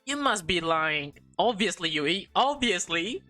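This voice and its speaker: A woman's voice: on the low side, animated, unhurried, bright, forceful tone. Woman hosting playful game commentary over music. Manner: informal, playful reacting, stumbles while reading. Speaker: gaming video host. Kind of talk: casual playthrough commentary, reading dialogue aloud. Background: background music.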